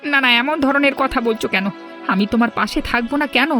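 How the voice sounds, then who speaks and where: A woman's voice: high-pitched, fast talker, over music, lightly acted. A woman voicing a worried, loyal younger sibling in a cartoon fairy tale, earnest and pleading.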